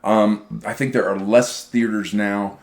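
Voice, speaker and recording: A middle-aged man co-hosting a casual chat, talking in an animated, chatty way. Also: lowish voice, a little slow. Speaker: podcast co-host.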